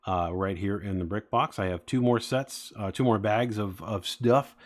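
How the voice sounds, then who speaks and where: A man's voice: on the low side, normal speed. A man in his forties chatting casually with live-stream viewers, rambling with many fillers as he talks. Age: 40s. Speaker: streamer building toy sets.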